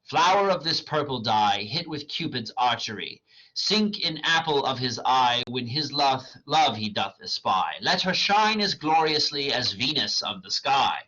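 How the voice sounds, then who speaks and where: A man's voice: medium pitch, sing-song, a little slow, bright, forceful tone, voice pushed up, heavily acted. Oberon, a man reading as a commanding fairy king. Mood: scheming. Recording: dull lo-fi recording.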